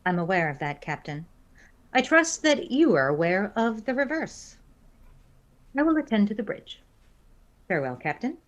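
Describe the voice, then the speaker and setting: A woman's voice: medium pitch, very animated, natural voice. A woman playing a cold, logical female alien first officer in a science fiction audio drama, speaking formally and precisely. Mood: coolly threatening. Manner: formal, measured, precisely worded.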